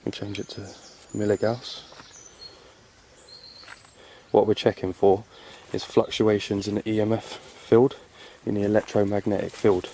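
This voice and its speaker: Paranormal show host, male, lowish register, informal field commentary, recorded outside with noticeable ambient noise. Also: level tone, a little slow, creaky, warm tone. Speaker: paranormal investigator hosting an exploration video. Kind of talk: casual on-location ghost-hunt commentary, calling out questions. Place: outdoors in a rural spot. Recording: noisy outdoor location sound. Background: birds and outdoor ambience.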